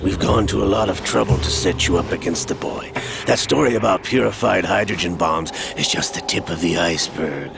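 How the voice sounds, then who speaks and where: An actor playing a cold, scheming older male villain in a video game, calm, measured and mocking as he lays out a plot. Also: sits low, vocal fry, noisy background. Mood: smug, coldly explaining. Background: game score music.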